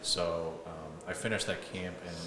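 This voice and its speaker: A man's voice: deep voice, flat delivery, normal speed. A young man from South Africa, a football player, telling his story as an interview guest in an easygoing way, with lots of "like" and "you know". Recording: echoey room with mic at a distance and noise.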